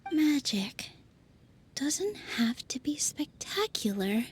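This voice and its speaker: Gentle, very high-voiced fairy maiden. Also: female voice, sing-song, takes it slowly, heavily acted. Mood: thoughtful. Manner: soft-spoken, polite, earnest. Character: gentle young fairy lady, polite peacemaker, thoughtful. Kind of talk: English video game dub acting.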